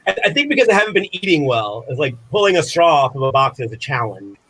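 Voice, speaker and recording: A man's voice: a little high, very animated, fast talker, fairly bright tone, slightly rough, rough recording. A man performing a rambling comic monologue to camera, flustered, apologetic and self-deprecating, with theatrical exclamations. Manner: theatrical, exclaims in sudden outbursts. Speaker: comedic performer in an online showcase.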